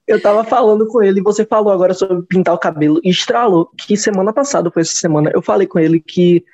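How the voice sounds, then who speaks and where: A man's voice: sits high, quick pace, darkish tone. A young man in his twenties with a Brazilian accent, musing in casual podcast chat, rambling and restarting as he goes. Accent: Brazilian Portuguese. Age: 20s. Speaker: podcast host. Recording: dull lo-fi sound.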